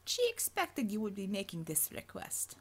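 A woman's voice: medium pitch, huge pitch swings, normal speed, fairly bright tone, breathy edge, heavily acted. Audiobook role: imperious Russian woman officer, voiced by a female reader, stern and formal, accented, clipped delivery. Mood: cool, dry.

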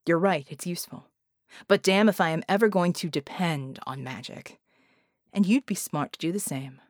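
A woman voicing a bitter, guilt-ridden man in an audiobook, gruff and emphatic in manner. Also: fairly low pitch, moderate pace, no echo, studio quality, natural voice. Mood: controlled, stern. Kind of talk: dramatized audiobook reading.

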